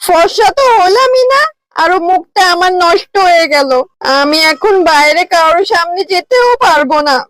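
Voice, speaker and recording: A woman's voice: very high-pitched, moderate pace, a bit bright, no echo, low-quality recording. A woman voicing a vain, whiny young village wife in a moral-story cartoon, melodramatic and complaining. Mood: wailing despair. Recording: music behind the voice.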